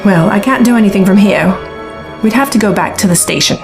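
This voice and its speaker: A woman's voice: medium pitch, fairly quick, creaky, music in the background, natural voice. An actress playing a hard-bitten, sceptical woman reporter and pilot in a science fiction audio drama. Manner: matter-of-fact, sceptical.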